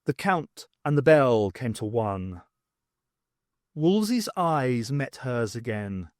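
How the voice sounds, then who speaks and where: A man's voice: medium pitch, sing-song, slow, a little mellow, no room sound, studio quality. A man reading scripted dramatic narration for an audiobook.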